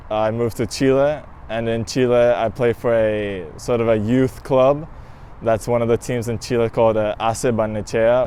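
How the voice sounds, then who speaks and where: A teenage high school student answering interview questions casually, with frequent pauses and fillers. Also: male voice, a little hiss or hum. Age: teens. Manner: relaxed, hesitant with fillers.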